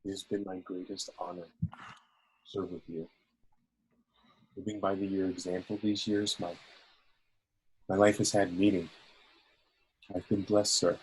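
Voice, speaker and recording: A man playing an earnest, devoted alien crewman who speaks formally and haltingly, in an amateur script read. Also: low voice, little pitch movement, husky, low-quality recording. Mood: weak, dying. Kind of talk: amateur screenplay table read.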